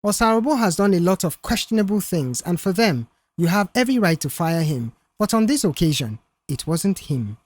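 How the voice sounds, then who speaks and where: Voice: medium pitch, big pitch swings, a little fast, hardly any pauses, dry recording. A narrator voicing a tense, earnest young male mechanic in an audiobook story. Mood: earnest, firm.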